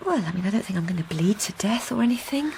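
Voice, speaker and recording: A woman's voice: medium pitch, expressive, quick pace, vocal fry, a little mellow, a little hiss or hum. A woman playing a timid, anxious wife in a radio drama, speaking hesitantly with stammering restarts, both in dialogue and intimate narration. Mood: flustered, hesitant. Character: anxious, timid wife who has turned invisible.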